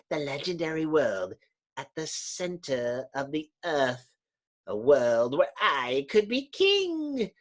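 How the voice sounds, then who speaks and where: Woman voicing low, husky, bitter male underground villain. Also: exaggerated voice. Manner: slow, grandiose, theatrical. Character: bitter, menacing, mocked male underground villain, Mole Man. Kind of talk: dramatic comic-book reading.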